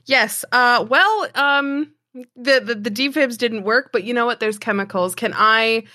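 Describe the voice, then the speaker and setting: A woman playing a tabletop game, chatting casually and thinking aloud in a halting way. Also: fairly high pitch, pushed and bright, no room sound, studio quality.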